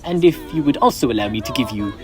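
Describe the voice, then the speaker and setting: A man's voice: mid-range voice, animated, fast, over music, lightly acted. A teenage boy playing a reformed, now generous old miser in a school stage play, speaking earnestly with formal old-fashioned phrasing. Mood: kind. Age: teens.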